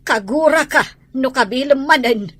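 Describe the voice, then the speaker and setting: A woman with an Ilocano accent playing a protective, worried mother in a radio drama, with a husky voice. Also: high-pitched, normal speed, a bit bright. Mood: upset, pleading. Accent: Filipino, Ilocano. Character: protective, worried mother standing up to her husband.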